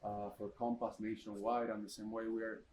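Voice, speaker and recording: A man's voice: lowish voice, flat delivery, normal speed, a little mellow, slight background noise. A man hosting a podcast interview, upbeat and chatty, often restarting his phrases. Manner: enthusiastic, stumbling restarts. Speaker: podcast host.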